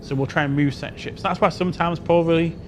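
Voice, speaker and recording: A man's voice: a little high, fairly even intonation, fast, music in the background. A man giving an unscripted interview answer as a game developer, explaining in a hedging way and often repeating "you know".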